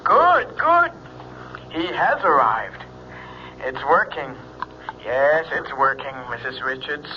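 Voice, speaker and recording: An actor playing a sinister, controlling fortune teller on the telephone in an old radio drama, speaking in a slow, insistent way. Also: male voice, a little high, big pitch swings. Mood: gloating. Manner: slow, insistent, ominous repetition. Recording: dull, noisy old radio recording.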